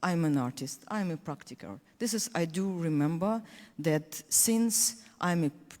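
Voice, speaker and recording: Ukrainian woman, low warm voice, reverberant hall. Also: lively intonation. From Ukraine (Donetsk). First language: Russian. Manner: earnest, halting non-native English with many restarts. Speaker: panelist. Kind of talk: panel discussion speech.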